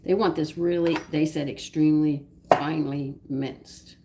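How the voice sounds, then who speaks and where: A low-pitched woman with a hoarse, fading voice talks viewers through a recipe in a chatty, homey way. She sounds like she is at home, and the clatter of dishes and cutlery in her kitchen comes through on a noisy live-stream recording. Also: steady tone, a little slow. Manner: chatty and homey, talking through each step. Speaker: cooking show host. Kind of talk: live-streamed cooking demonstration, greeting viewers by name. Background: clinking dishes and cutlery.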